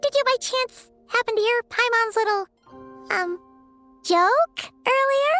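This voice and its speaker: A woman voicing a tiny chatty female companion sprite who babbles excitedly and refers to herself by name, in a game cutscene. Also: extremely high pitch, a little slow, faint music behind. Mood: flustered.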